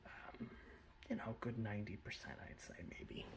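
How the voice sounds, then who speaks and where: A man speaking in a breathy whisper, presenting his hobby in a casual, rambling ASMR style. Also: flat delivery, normal speed, noisy background. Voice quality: breathy whisper with soft low speech. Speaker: hobbyist miniature painter presenting his collection. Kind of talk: whispered ASMR show-and-tell.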